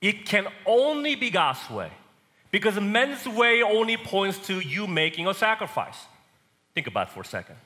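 A man from Korea with a Korean accent, preaching a sermon and swinging between loud and soft emphasis. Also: high-pitched, sing-song, moderate pace, long reverb tail.

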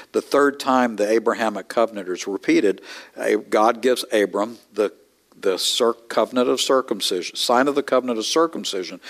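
A middle-aged man preaching a conversational sermon, with wry asides and sudden rises in pitch. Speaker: pastor. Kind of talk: expository sermon.

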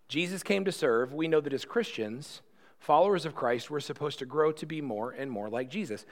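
A man's voice: fairly high pitch, expressive, moderate pace. A man in his early forties preaching a conversational, earnest sermon with rhetorical questions and self-deprecating asides, often repeating words and restarting. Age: 40s. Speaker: pastor.

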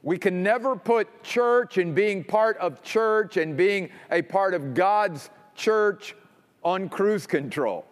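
Animated preacher in a reverberant hall. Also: male voice, slow. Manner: emphatic preaching, drops low and quiet for stress. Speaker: pastor. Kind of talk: sermon.

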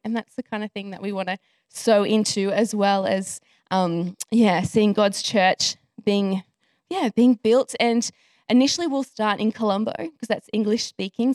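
A woman's voice: medium pitch, no room sound, studio quality. A woman, a missionary and pastor's wife, giving an earnest, chatty testimony to a church, often correcting herself.

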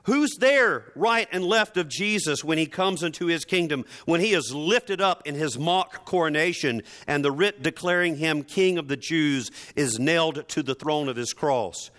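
A man's voice: on the high side, animated, fairly bright tone. A man preaching a dramatic sermon with emphatic, theatrical, rhetorical delivery and sardonic asides. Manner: emphatic, theatrical delivery with deliberate repetition for effect.

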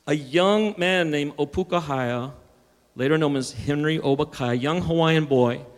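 Man preaching in a reverberant hall. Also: a little high. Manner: preaching with rising emphasis, stumbles and restarts. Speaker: pastor. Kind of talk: sermon.

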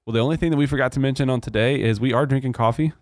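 A man's voice: medium pitch, steady tone, rapid-fire, dry recording, studio quality. A man with a Texan drawl, hosting a church podcast in casual, earnest chat with many fillers.

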